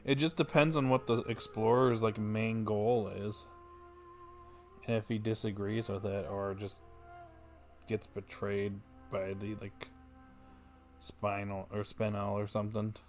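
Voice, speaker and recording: A man's voice: lowish voice, flat delivery, measured pace, pauses often, soft background music. A man livestreaming a video game, chatting casually in a laid-back, musing way. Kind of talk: casual chat while streaming a game.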